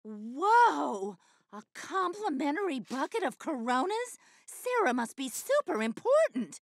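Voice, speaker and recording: A woman voicing a naive, excitable female character in an animated comedy, girlish and wide-eyed. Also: high voice, a little slow, dry recording, clean studio sound, full character voice. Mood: excited.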